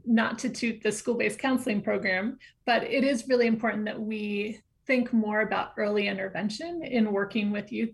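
A woman guest on a radio show, talking about youth services in a hesitant way, with many pauses and restarts. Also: mid-range voice, vocal fry. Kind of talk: radio interview answer.

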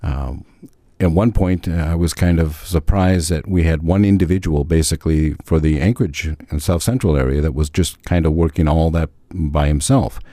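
A male commissioner answering questions as a radio guest, speaking in a measured, halting way. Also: extremely low pitch, warm tone, dry recording, studio quality.

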